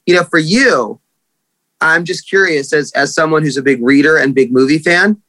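A man's voice: a little high, normal speed, fairly bright tone, no echo. A man hosting an interview podcast, asking questions with sympathy and curiosity. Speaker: podcast host.

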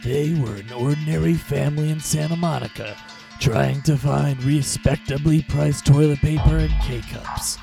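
A man's voice: a little high, measured pace, gravelly. A man doing a dramatic, ominous parody movie trailer voice-over. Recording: music bed under voice-over.

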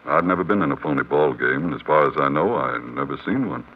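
An actor playing a plain-spoken, weary baseball manager with a halting, folksy manner in a 1950s radio drama. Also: male voice, extremely low pitch, expressive. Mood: firm, guarded. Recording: dull, faintly noisy vintage radio recording.

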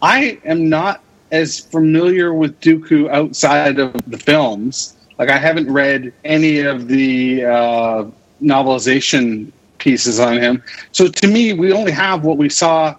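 A man's voice: fairly high pitch, measured pace, poor audio. A man chatting casually as a podcast guest, hesitant and searching for his words. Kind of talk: casual chat.

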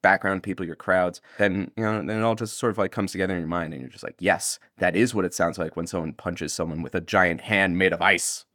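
A man's voice: sits low, fairly even intonation, quick pace, hardly any pauses, fairly bright tone, dry recording, studio quality. A man being interviewed as an audio drama creator, chatting casually in a rambling, jokey way that often interrupts itself. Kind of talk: casual, animated interview chat.

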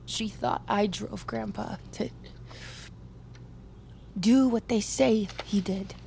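A woman's voice: medium pitch, slow pace, vocal fry, dark tone, roomy sound, slight background noise. A woman playing a blunt, grieving young army private in an audio drama, with an American accent and an argumentative, fiercely insistent way of talking. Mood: bitter. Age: young adult.

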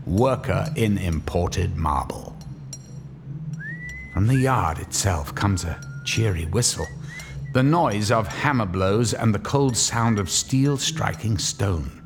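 A man narrating a radio drama in a measured, descriptive way. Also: fairly low pitch, background noise.

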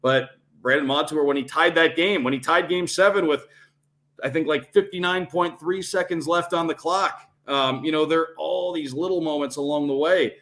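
A man's voice: fairly high pitch, moderate pace, fairly bright tone. A man talking as a sports broadcaster and podcast guest, analysing games and building his points step by step with emphatic repetition. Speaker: radio play-by-play announcer, podcast guest.

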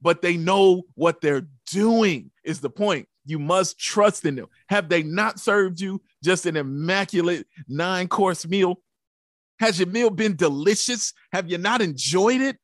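A man's voice: high-pitched, a little slow, no echo, clean studio sound. A man co-hosting a sports podcast in casual fan talk, preaching emphatically with rhetorical questions and repeated catchphrases.

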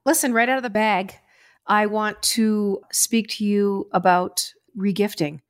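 A woman's voice: medium pitch, fairly even intonation, unhurried, no echo. Dry-sounding older woman hosting a chat. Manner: chatty, storytelling. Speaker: podcast host. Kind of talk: casual chat.

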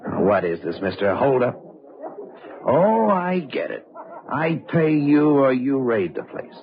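An actor playing a brusque, cynical American bartender in old-time radio drama style, slangy and grudging. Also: male voice, mid-range voice, very animated, measured pace. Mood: resentful. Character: brusque, cynical working-class male bartender. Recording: muffled, very noisy old radio recording.

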